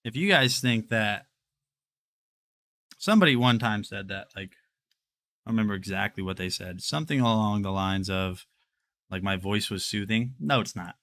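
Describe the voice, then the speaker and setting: A man's voice: on the low side, animated, normal speed, pauses often, a bit bright, dry recording. A man in his twenties hosting a livestream, chatting casually and rambling while he walks through his home. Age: 20s. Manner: rambling, chatty, addresses chat. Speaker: livestream host. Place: home, walking through rooms.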